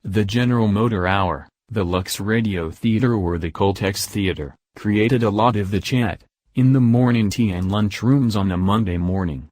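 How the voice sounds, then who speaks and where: Man, scripted narration, lowish voice, close dry recording, presenter. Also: darkish tone, dry recording.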